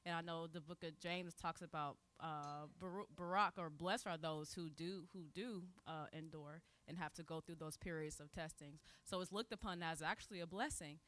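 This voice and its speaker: A woman taking part in a Bible study, reflecting informally and earnestly, haltingly repeating phrases for emphasis. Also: low voice, fairly even intonation, a bit bright.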